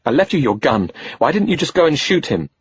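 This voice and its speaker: A man's voice: a little high, big pitch swings, brisk, slightly rough, no echo, dull audio, voice pushed up, heavily acted. A man voicing a worn-out, shaken teenage boy, in a plain subdued voice. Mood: weary.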